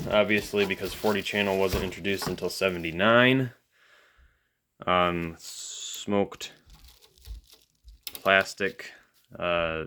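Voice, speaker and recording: A man's voice: deep voice, a bit bright. A man giving casual, off-the-cuff hands-on commentary as a hobbyist video host, musing and thinking aloud as he goes.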